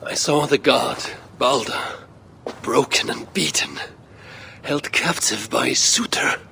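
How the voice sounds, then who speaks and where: A man playing a brooding Viking warrior in a video game, grave and measured, with a gravelly voice that turns breathy when quiet. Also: mid-range voice, noisy background. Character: brooding male Viking warrior haunted by visions. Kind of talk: dramatic video game cutscene acting.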